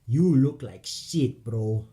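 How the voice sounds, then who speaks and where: A man's voice: medium pitch, slow pace, dark tone, close to an everyday voice. A man voicing a cocky, foul-mouthed little Puerto Rican drug pusher with brash, slangy talk, in an audio play. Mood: cocky, needling.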